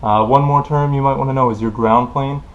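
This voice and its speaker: Lower-pitched man explaining, dull lo-fi recording. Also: steady tone, fairly quick. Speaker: tutorial presenter explaining technical concepts. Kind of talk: informal explainer lecture.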